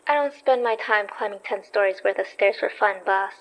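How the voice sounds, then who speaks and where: A woman's voice: mid-range voice, a little fast, muffled recording, lightly acted. A woman playing an anxious but plucky young investigator in a horror audio drama, speaking in a hesitant, nervy way. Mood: wry.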